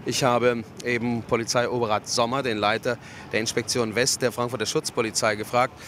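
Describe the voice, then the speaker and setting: A male radio reporter with a mid-pitched voice reporting on location. He speaks in measured phrases, with clear street and vehicle noise behind him. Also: little pitch movement, a bit bright. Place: on location outside a theatre.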